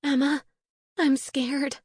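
A woman's voice: a little high, steady tone, a little slow, a little mellow, no room sound, voice pushed up, natural voice. A woman voicing a frightened, tearful, innocent young witch in an audiobook, earnest and anxious. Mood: scared.

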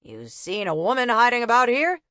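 A woman voicing an impatient, out-of-breath male police officer who is gruff and exasperated. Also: on the high side, sing-song, normal speed, a bit bright, no echo, clean studio sound, pitched above the performer's own voice.

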